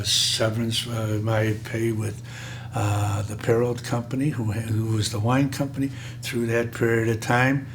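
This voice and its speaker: An older man, a bit hoarse, recalling his career in a rambling way with many halting pauses. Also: fairly low pitch, noisy background. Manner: rambling, frequent filler pauses. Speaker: interviewee. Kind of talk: oral history recollection.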